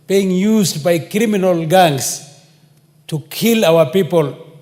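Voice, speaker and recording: A Kenyan man speaks very slowly and deliberately in a parliamentary debate, his voice sitting a little high. The chamber's long reverb lingers behind his measured phrases at the desk microphone. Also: darkish tone. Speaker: member of parliament. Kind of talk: parliamentary speech.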